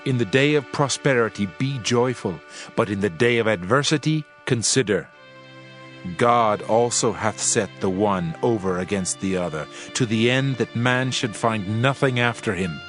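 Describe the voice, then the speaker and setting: Low, solemn actor as ancient wisdom preacher. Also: male voice, a bit bright, close to an everyday voice. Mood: solemn, reflective. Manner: solemn, measured, declamatory. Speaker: scripture reader in a dramatized audio Bible. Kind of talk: dramatized King James scripture reading. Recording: music under the voice. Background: background music.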